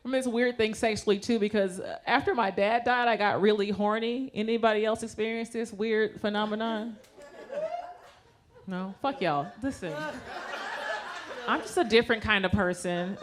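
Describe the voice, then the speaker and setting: A woman's voice: mid-range voice, fairly even intonation, background noise. Brash woman doing stand-up on stage. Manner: brash, punchy, quick to acted-out quotes. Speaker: stand-up comedian. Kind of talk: stand-up comedy set. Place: comedy stage before a live audience.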